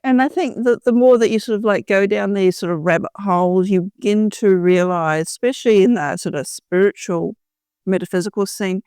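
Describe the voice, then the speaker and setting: A middle-aged woman talks casually as an interview guest. Her voice is clear and smooth at a medium pitch, in a very dry recording. She rambles along in a self-deprecating way. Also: brisk, darkish tone, no echo. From New Zealand. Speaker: podcast guest, author. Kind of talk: casual autobiographical interview talk.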